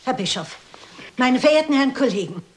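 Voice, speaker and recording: A woman's voice: high-pitched, expressive, brisk, slight background noise. An actress playing a dignified, shrewd elderly lady sleuth in a German crime radio play, speaking politely and precisely. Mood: gracious, solemn.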